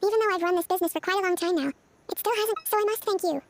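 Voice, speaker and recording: A woman playing a gentle, thoughtful young dream therapist in a fantasy game, speaking in a measured, soothing, quietly playful way. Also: extremely high pitch, no room sound. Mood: reflective, earnest. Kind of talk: animated fantasy game voice acting.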